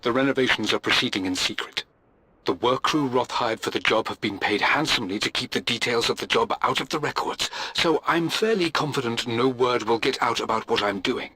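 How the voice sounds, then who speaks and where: A man's voice: mid-range voice, brisk, bright, forceful tone, slight background noise, lightly acted, low-quality recording. An actor playing a wary, driven male scholar in a video game, narrating his journal in an earnest, guarded manner. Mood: guarded worry.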